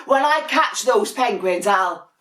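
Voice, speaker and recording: Woman putting on a loud, grumpy young penguin. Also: medium pitch, lively intonation, takes it slowly, roomy sound, voice pushed up. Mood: angry. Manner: emphatic, indignant protesting. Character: grumpy young male penguin wanting to be alone.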